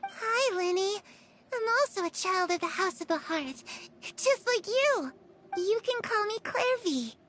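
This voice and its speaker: A woman's voice: very high-pitched, expressive, measured pace, a bit bright, a little hiss or hum, full character voice. A woman playing a sweet, friendly young girl in a video game, with a polite, welcoming manner.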